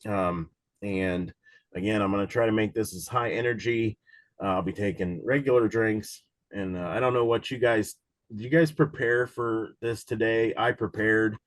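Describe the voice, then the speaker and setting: A man with a slightly low voice, raspy from a lingering cold, teaches an exam prep class over a video call. He talks casually and rambles, restarting sentences and filling gaps with "um" and "uh". The sound is dry and close, with no room around it. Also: normal speed, dry recording. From Cincinnati, USA. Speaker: insurance exam instructor. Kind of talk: live online study session.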